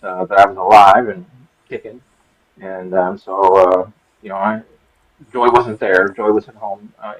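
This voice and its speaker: Host, male, low husky voice, halting rambling talk, muffled audio. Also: a bit bright. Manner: rambling, halting, full of fillers and restarts. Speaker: show creator and host. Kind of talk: casual chat on a live show.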